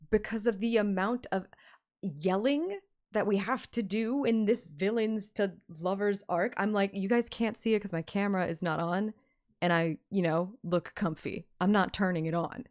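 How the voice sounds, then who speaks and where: Sweary, mid-pitched female streamer. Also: normal speed. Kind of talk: casual livestream chat.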